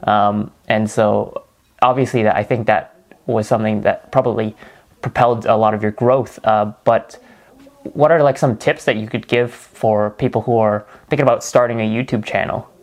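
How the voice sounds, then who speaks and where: A man hosting an interview, asking long, halting questions full of fillers and restarts. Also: on the low side, steady tone. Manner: hesitant, many fillers and restarts. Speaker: interview host.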